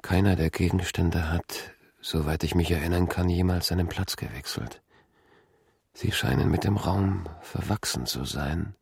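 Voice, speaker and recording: A deep, gravelly brooding man. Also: dry recording, clean studio sound, heavily acted. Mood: pensive, subdued. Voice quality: low, gravelly, creaky. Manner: slow, fragmentary, introspective recitation. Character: brooding middle-aged man haunted by childhood memories. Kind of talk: radio drama inner monologue.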